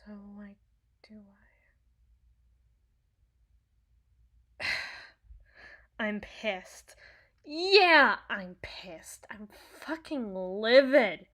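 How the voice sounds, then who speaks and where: Woman as female villain's field medic, slow deliberate pace, sardonic, brash, protective, dramatic ASMR roleplay delivery, medium pitch. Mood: irritated, livid. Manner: sardonic, dramatic, swings from hushed muttering to outbursts. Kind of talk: intimate ASMR audio roleplay.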